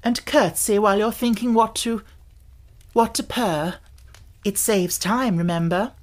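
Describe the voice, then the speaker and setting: A woman's voice: mid-range voice, fairly even intonation, measured pace, pitched above the performer's own voice, close to an everyday voice. A woman voicing a spirited, bossy yet affectionate young girl in a storybook audiobook, with emphatic, scolding and coaxing delivery. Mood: merry, teasing.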